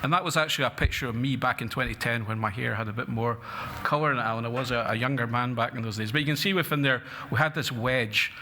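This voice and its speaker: A man's voice: mid-range voice, fast, sharp tone, a little hiss or hum. A middle-aged man with a Scottish accent giving an earnest conference talk from a lectern, with frequent fillers and restarts. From Scotland. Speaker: conference speaker. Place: conference hall. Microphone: lectern mic.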